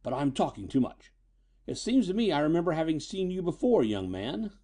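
Need a man playing a furtive older scientist father for pulp audiobook: stern, guilt-stricken, mellow timbre, animated intonation. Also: on the high side, brisk, voice pushed up. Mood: suspicious, severe. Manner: severe, guilt-stricken, hesitant.

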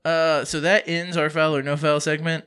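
A man's voice: on the high side, level tone, moderate pace, a bit bright, smooth. A man in his thirties co-hosting a podcast, telling jokey stories and swearing freely. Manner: joking storyteller, swears freely. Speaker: podcast co-host. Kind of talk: casual podcast banter.

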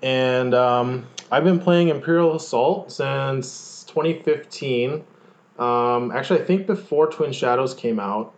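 A man's voice: mid-range voice, unhurried. A young man from Hawaii, a guest on a podcast, introducing himself in a hesitant way full of fillers. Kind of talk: casual self-introduction.

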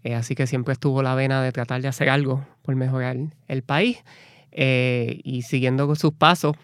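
A Puerto Rican man answers interview questions in a relaxed, conversational way. His voice is smooth and resonant at a middle pitch. The sound is dry and close, with no room echo. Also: normal speed. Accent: Puerto Rican Spanish. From Puerto Rico. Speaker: guest, lawyer and activist.

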